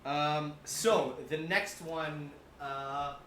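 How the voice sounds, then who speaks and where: Give a man with a slightly high voice, hosting a casual panel chat. He is playful and teasing as he moderates. The room is echoey, with faint noise behind him. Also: drawn-out words, a bit bright. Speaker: host.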